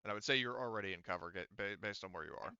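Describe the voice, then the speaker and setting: Bright-voiced, informal man as game master. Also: lowish voice, racing, clean tone, dry recording, muffled recording. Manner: informal, profane. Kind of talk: casual tabletop game refereeing.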